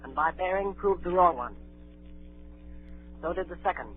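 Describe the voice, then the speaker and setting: A man's voice: high voice, lively intonation, normal speed. An actor playing an earnest boy adventurer, narrating his tale in 1930s radio drama style. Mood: earnest, urgent. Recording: tinny 1938 radio recording, faint music.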